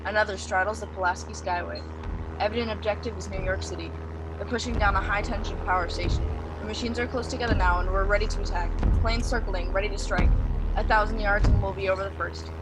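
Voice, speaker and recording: A woman's voice: mid-range voice, fairly even intonation, quick pace, heavy background noise, lightly acted. A woman playing a grave military bomber pilot, giving terse radio reports in a radio play. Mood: urgent. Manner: terse, telegraphic. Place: outdoors beside a wooden building.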